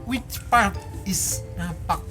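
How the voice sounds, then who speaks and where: A woman's voice: mid-range voice, very slow. A woman co-hosts casual reaction chat, slangy and incredulous, mixing in English. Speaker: co-host of a reaction commentary show. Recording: music behind the voice. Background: music.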